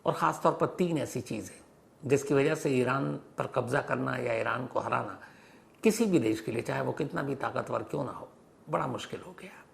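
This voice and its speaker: A man's voice: medium pitch, moderate pace, creaky. A man presenting an explanatory news monologue in an emphatic storytelling manner, often posing questions and rephrasing points for clarity. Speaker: host of a news explainer show.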